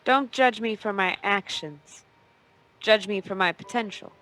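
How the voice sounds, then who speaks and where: Noir radio play: actress as tough female operative, medium pitch, sly, wisecracking and dangerous. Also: unhurried, fairly bright tone, faint music behind, natural voice, low-quality recording. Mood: brazen. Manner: cool, brazen, wisecracking.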